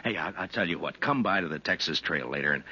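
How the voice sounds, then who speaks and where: A man's voice: low voice, lively intonation, fast, bright, forceful tone, slightly rough, heavily acted. An actor playing an easygoing, modest frontier marshal in a 1950s radio western, conversational and a little hesitant in his plain-spoken way. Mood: friendly. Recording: muffled 1950s radio recording with music.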